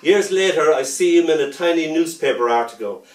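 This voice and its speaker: A man reading his poetry aloud, with a rhythmic, playful delivery that softens into gentle lullaby lines. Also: a little high, expressive, fairly quick. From Ireland. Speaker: poet. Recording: roomy sound from a distant mic.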